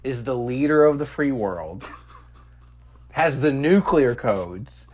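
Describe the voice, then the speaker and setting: A man's voice: mid-range voice, takes it slowly. A man co-hosting a comedy podcast, telling stories in casual, profane banter and animatedly acting out quotes. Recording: noisy with a steady hum.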